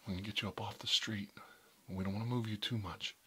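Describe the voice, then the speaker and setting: A man speaking softly and reassuringly, often in a whisper, as a caregiver in a medical roleplay. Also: lowish voice, fairly even intonation, fast, slight background noise.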